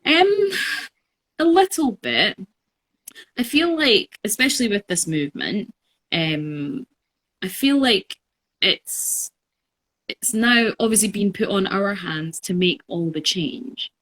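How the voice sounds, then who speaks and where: A woman in her twenties talking in a relaxed podcast conversation, thoughtful and reflective, with many pauses and fillers. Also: medium pitch. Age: 20s. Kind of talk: casual conversation.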